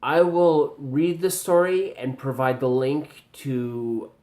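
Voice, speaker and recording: A man with a general Canadian accent speaks slowly and deliberately, like a teacher giving feedback. He pauses often and fills gaps with ums. His voice sits at an ordinary pitch for a man. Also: fairly even intonation, warm tone. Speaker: video commentator reviewing pronunciation. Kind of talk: slow spoken video response.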